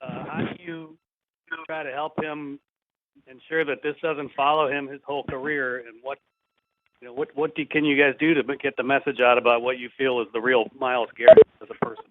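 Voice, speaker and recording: A man's voice: medium pitch, level tone, fairly quick. A man asking a question as a sports reporter on a press conference call. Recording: noisy narrow phone line.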